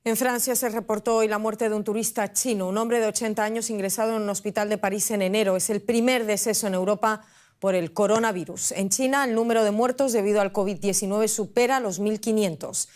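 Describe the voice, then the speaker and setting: A woman's voice: mid-range voice, fairly even intonation, fairly quick, a little mellow. Woman reading the news. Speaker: news anchor.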